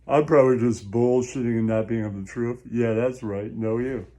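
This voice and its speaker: A man's voice: medium pitch, fairly even intonation, moderate pace, mellow. A man rambling through a crude comic monologue, mocking and repetitive, often leaning on catchphrases as a show host. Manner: crude, mocking, repetitive, laughs at own jokes.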